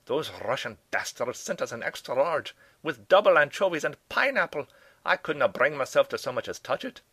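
A man doing an excitable old Scottish professor. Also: moderate pace, slightly rough, dry recording, full character voice. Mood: indignant. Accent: Scottish put on. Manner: exclaiming, high and rolling.

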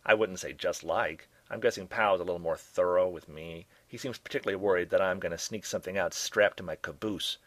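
A man voicing a sardonic, chain-smoking woman scientist in her forties, drawling and scornful with a raspy edge. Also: low voice, brisk, few pauses, fairly bright tone, no echo.

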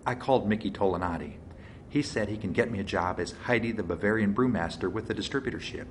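A man's voice: lowish voice, fast, slight background noise, natural voice. A man voicing a flippant young actress with a breezy, teasing manner, as a character in an audiobook.

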